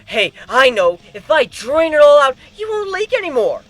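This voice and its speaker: A man voicing a berserk female griffin, childlike and bloodthirsty, with a drawn-out singsong delivery in a story reading. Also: very high voice, sing-song, a bit bright, faint music behind, voice pushed up, heavily acted. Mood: playful, menacing. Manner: singsong, drawn-out, deranged innocence.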